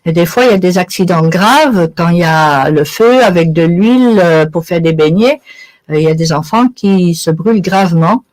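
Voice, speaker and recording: A woman's voice: fairly low pitch, expressive, normal speed, darkish tone. A woman of Italian origin giving conversational interview answers as a guest, explaining things with everyday examples. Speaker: interview guest, charity sponsorship volunteer.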